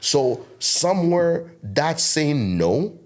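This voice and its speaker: A man's voice: a little high, sing-song, extremely slow. A man in his thirties, raised in Togo with French as his first language, speaking with a French West African accent, preaching emphatically as a podcast guest. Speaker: guest content creator. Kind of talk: podcast interview.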